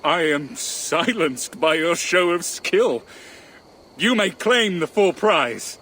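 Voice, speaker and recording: An actor playing a proud, sharp-tongued Norse challenge master in a video game, speaking in a haughty, deliberate way. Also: male voice, on the high side, animated, slight background noise, heavily acted. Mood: grudging respect. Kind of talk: dramatic video game acting.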